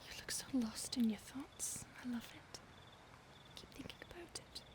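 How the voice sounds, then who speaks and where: A woman's voice: high-pitched, measured pace. A woman whispering as an ancient vampire stalker. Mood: menacing whisper. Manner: mostly whispered, close and intimate. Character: ancient predatory female vampire stalker, possessive. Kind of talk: ASMR audio roleplay.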